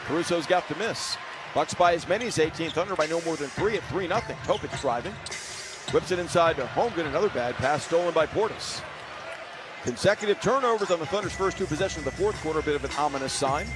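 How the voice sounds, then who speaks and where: A male basketball announcer with a high-set voice delivers live radio commentary. His phrasing is brisk and clipped, lifting for the big plays. Music sits clearly behind him. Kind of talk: live sports play-by-play commentary.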